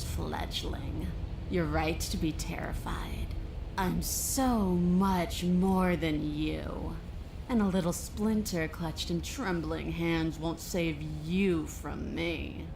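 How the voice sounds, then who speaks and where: A woman's voice: fairly low pitch, fairly even intonation, slow, background noise. A woman playing a feral, predatory princess in a horror game, with a growling voice, taunting and menacing. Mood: predatory menace. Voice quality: gravelly, growling. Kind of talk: visual novel game voice acting.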